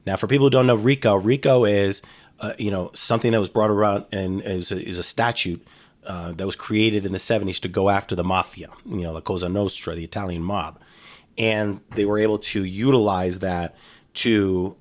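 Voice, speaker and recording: A middle-aged man talking casually in an interview, rambling with frequent restarts and fillers. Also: fairly low pitch. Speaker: guest, former FBI agent.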